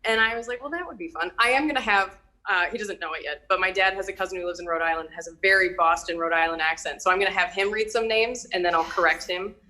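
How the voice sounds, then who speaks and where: A woman's voice: lowish voice, fast, pushed and bright. A woman from Minnesota with a light Minnesotan accent, chatting casually and laughing often as a guest over a video call. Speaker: hairstylist and TikTok creator, guest. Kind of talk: casual chat.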